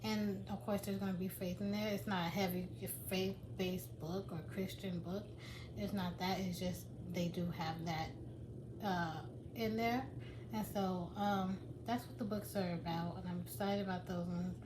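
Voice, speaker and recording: A woman's voice: mid-range voice, steady tone, measured pace, background noise. Rambling woman vlogger, casual chat. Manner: rambling, many restarts and fillers. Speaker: vlogger and writer.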